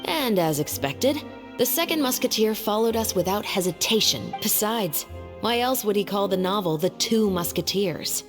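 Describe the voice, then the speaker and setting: A woman playing a stern, principled young police captain in a video game, speaking firmly and in a measured way. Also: mid-range voice, animated, in a large hall, music in the background, close to an everyday voice. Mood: composed, explaining. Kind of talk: dramatic game voice acting.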